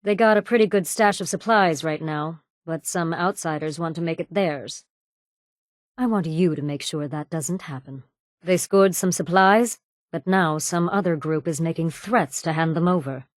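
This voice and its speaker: An actress playing a cold, menacing raider gang boss in a video game, with a cool, deliberate, sardonic delivery. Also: female voice, low-pitched, dry recording, studio quality, natural voice. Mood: cold menace. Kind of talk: video game character dialogue.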